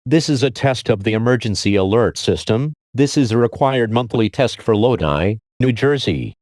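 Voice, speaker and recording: A man playing a calm, formal emergency alert announcer, reading a script in a measured, impersonal and grave way. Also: medium pitch, no room sound, lightly acted.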